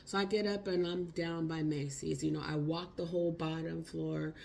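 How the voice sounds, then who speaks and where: A woman, hoarse from a cold, telling a casual, slangy story for her vlog. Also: sits low, moderate pace, a little hiss or hum. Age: 50s. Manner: chatty, expressive, slangy. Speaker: vlogger.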